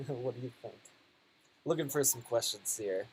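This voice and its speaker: Relaxed man chatting on a live stream. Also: mid-range voice, normal speed, a little hiss or hum, low-quality recording. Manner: relaxed, hesitant, frequent fillers and laughs. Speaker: travel vlogger hosting a live stream. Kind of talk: casual live-stream chat reading viewer questions.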